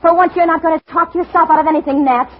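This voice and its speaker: A woman's voice: very high voice, fairly even intonation, quick pace. An actress playing a scheming girlfriend, devoted on the surface and vengeful underneath, in old-time radio melodrama style. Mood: vengeful, taunting. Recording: muffled, noisy old radio drama recording.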